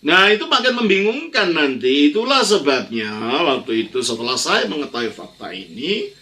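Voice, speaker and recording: A man's voice: a little high, very animated, moderate pace, bright, forceful tone. An older man, teaching religion as a priest in an informal question-and-answer style, emphatic and prone to repeating himself. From Indonesia. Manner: emphatic, repeats phrases, explanatory with colloquial tags.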